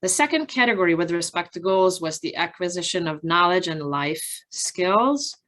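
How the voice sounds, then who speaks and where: Woman presenting research, slightly low voice. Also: expressive, fairly bright tone. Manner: measured, halting, explanatory, with frequent pauses. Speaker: academic researcher presenting study findings. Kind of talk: conference research presentation, partly improvised from slides.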